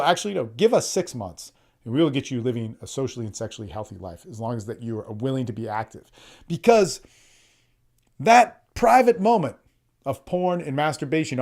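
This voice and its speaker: A man's voice: medium pitch, a little mellow. A man hosting a solo podcast, talking bluntly and profanely, often restarting words and acting out quotes. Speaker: podcast host and coach.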